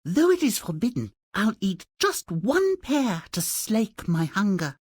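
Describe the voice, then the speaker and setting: A man's voice: high-pitched, big pitch swings, measured pace, husky, dry recording, studio quality, exaggerated voice. A man voicing a sweet, long-suffering young maiden in a fairy tale video game, dainty and matter-of-factly polite. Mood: wistful.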